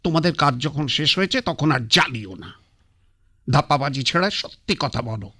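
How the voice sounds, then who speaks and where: A man's voice: medium pitch, expressive, normal speed, bright, forceful tone, husky. An actor playing a blunt, stubborn old man on his deathbed who mocks his doctor and priest in a sarcastic, commanding way. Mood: bitter. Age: older. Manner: sarcastic, commanding, brushes others off. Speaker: bedridden patriarch. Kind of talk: radio drama acting.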